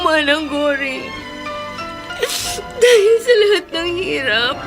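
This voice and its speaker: Wounded young heroine, very high voice, tearful, resilient, radio drama, music underneath. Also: female voice, exaggerated voice. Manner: tearful, emotional delivery. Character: wounded but resilient young woman, sold by her parents as a child. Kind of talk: Tagalog radio drama acting. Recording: music behind studio drama voice.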